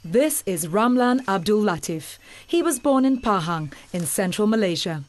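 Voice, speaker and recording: A woman giving scripted documentary narration in a measured, formal way. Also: medium pitch, lively intonation.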